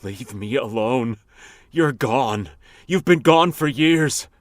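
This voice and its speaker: A man playing a nervous, guilt-haunted attorney in his late thirties in a radio drama, with halting delivery. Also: medium pitch, expressive, a little hiss or hum. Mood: panicked, angry.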